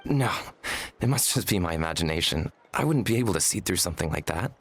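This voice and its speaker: A man's voice: deep voice, expressive, fast, breathy edge. A man playing an earnest, self-deprecating and polite young man in a video game. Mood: hesitant. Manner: earnest, hesitant, humble. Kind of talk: video game cutscene voice acting.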